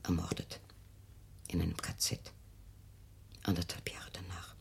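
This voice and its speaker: An actress playing a bitter, defensive middle-aged mother in a German radio play, speaking intensely in a husky, breathy voice. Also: a bass voice, completely flat delivery, measured pace, whispered, heavily acted. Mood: bitter, hushed.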